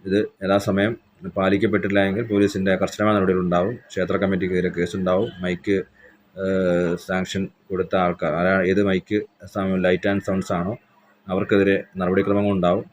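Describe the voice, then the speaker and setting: A man with a very deep, flat voice speaks as a police station officer making a formal announcement. He is measured and official, pausing on hesitant fillers. A faint background hiss sits under his voice.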